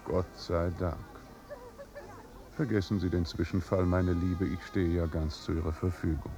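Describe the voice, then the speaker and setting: An actor playing a dry, placid, world-weary duke in a 1950s German radio drama, measured, courtly and deadpan. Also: male voice, low-pitched, soft background music.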